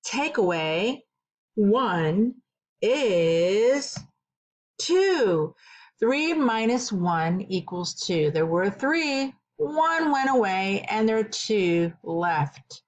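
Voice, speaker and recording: A middle-aged woman, a kindergarten teacher, reading a story aloud and teaching counting to young children in simple, deliberate phrases with questions. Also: mid-range voice, sing-song.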